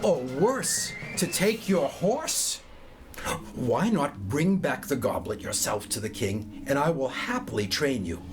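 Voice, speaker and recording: A man plays a helpful squire from a radio play, friendly and sensible. He gives advice kindly in a very lively, varied voice, with music underneath. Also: mid-range voice, slow pace. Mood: concerned, friendly. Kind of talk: audio drama acting.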